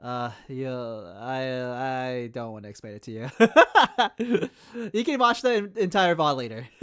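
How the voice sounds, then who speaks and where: A woman's voice: very deep voice, expressive, slow. A woman live streamer chatting casually with her viewers in a playful, affectionate way. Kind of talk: casual livestream chat with viewers.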